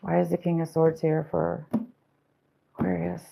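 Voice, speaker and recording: A woman's voice: fairly low pitch, completely flat delivery, normal speed, creaky, mellow, slight background noise, rough recording. A woman giving a casual, rambling tarot reading, talking straight to her viewers with fillers and restarts, and saying she is losing her voice. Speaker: tarot reader.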